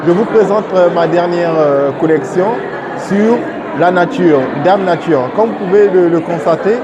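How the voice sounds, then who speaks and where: Male artist, high voice, halting and repetitive, gallery crowd audible behind him. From Congo. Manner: halting, repeats words. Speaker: exhibiting artist. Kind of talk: informal on-camera presentation of own work. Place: art gallery.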